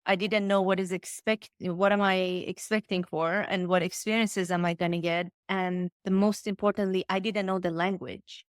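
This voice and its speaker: A woman's voice: fairly low pitch, flat delivery, normal speed, hardly any pauses, no room sound, studio quality. A woman from Iran, speaking English with slightly phrasing, talking earnestly and enthusiastically as a podcast guest and coach. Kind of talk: casual podcast interview.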